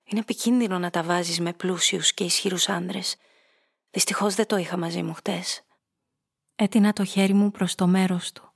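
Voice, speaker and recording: A husky, low-voiced femme fatale. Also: female voice. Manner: measured, confessional storytelling. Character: hard-boiled, greedy femme fatale narrating her own downfall. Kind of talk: radio drama first-person narration.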